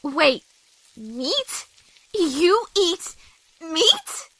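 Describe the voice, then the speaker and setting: Woman as sweet young female pony, bright high voice, slow, genteel, polite, flustered, audio drama. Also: sing-song, no room sound, exaggerated voice. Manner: polite, hesitant, motherly. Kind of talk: audio drama character acting.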